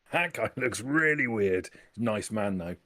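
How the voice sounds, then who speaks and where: A man's voice: on the low side, animated, measured pace, pushed and bright. A man chatting casually as a player in a tabletop role-playing game.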